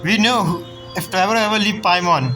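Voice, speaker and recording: A female game streamer gives casual, slangy reactions in short bursts, swearing freely. Her voice sits at a middle pitch, with faint music behind it. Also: brisk, bright, forceful tone, rough recording.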